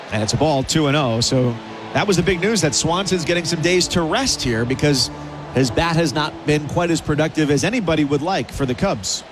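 A man calling baseball play-by-play on the radio, brisk and rising with the action. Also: on the high side, background noise. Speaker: play-by-play announcer.

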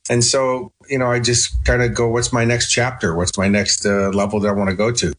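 A man's voice: mid-range voice, little pitch movement, fairly quick, a bit bright. A middle-aged man with a Chicago accent, a talkative, self-assured guest answering interview questions.

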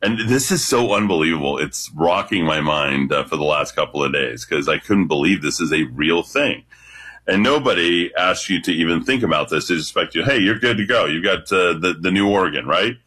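A man with a deep voice calling into a radio show, talking confidently and animatedly. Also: lively intonation, a little fast, bright, forceful tone, slightly rough. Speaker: radio caller. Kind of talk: casual radio talk.

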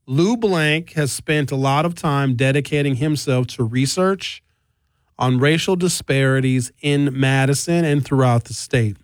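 A man's voice: on the high side, dry recording, studio quality. A man hosting a talk radio show, giving opinionated commentary and reading aloud in an emphatic, conversational, blunt manner.

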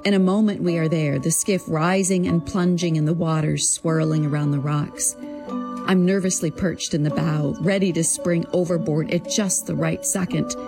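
A woman writer narrating her own memoir in an expressive, deliberate, literary way, acting out the dialogue. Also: low-pitched, vocal fry, music in the background. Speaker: creative writing teacher. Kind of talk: prepared personal storytelling, narrated memoir.

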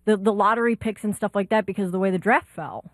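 A woman co-hosting a sports talk show, asking quick, searching questions. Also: mid-range voice, steady tone, no echo, clean studio sound. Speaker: sports talk radio co-host.

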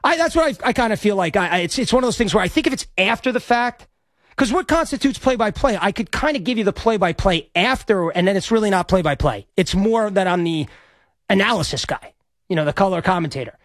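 A male sports radio host in a studio, rambling and excitable as he reacts to a live game, stammering and repeating himself, his voice slightly hoarse. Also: high voice, fast, no room sound.

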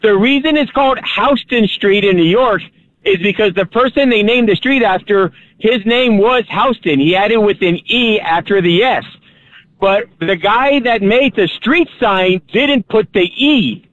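Male radio caller, Texan, high voice, muffled phone-line quality. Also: a little slow, fairly bright tone. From Texas. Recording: dull phone-line sound.

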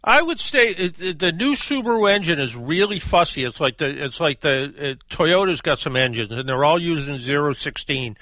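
A man's voice: a little high, expressive, moderate pace, hardly any pauses, sharp tone, no room sound. A man hosting a call-in radio show about cars, talking in a loose conversational way with fillers and restarts. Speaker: radio car show host. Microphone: studio microphone.

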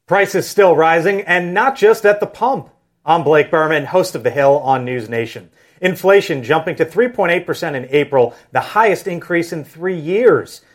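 A man's voice: fairly high pitch, lively intonation, normal speed. Man reading punchy news promo. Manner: punchy, clipped headline delivery. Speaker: news host. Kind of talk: promo narration.

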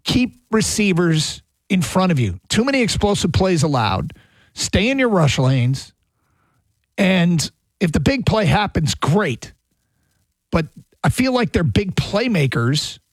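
A man's voice: high voice, big pitch swings, takes it slowly, dry recording. A man hosting a sports talk show, chatting in a thoughtful, rambling way. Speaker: sports radio host.

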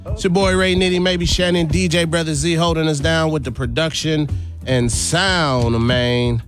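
A man's voice: fairly high pitch, fairly even intonation, moderate pace, music in the background. A man hosting a casual radio talk show from a studio, with slangy, teasing banter. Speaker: radio host. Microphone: studio mic.

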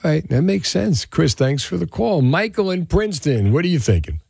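A man's voice: fairly high pitch, big pitch swings, moderate pace, gravelly, a little mellow, breathy edge, no room sound. A man with a North Jersey accent hosting a call-in radio show, chatting loosely with callers. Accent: North Jersey, Union City. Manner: chatty, loose, filler-heavy. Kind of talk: casual talk radio chat.

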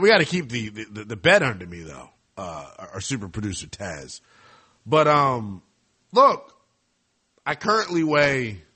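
A man hosting a sports talk radio show from a studio, joking and boasting in playful banter. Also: fairly high pitch. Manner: jokey, boastful, animated.

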